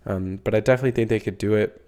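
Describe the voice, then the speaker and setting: Young male podcast host, stuffy nose, lower register, loose filler-heavy sports chat. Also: fairly even intonation, racing, a little mellow. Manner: rambling, filler-heavy, swears casually. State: congested. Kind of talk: casual solo sports talk.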